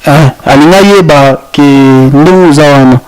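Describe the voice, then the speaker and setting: A man's voice: on the high side, a little slow, darkish tone, poor audio. A man, hosting a casual street interview and repeating promotional plugs for his web channel. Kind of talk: street interview, mixing French and Lingala.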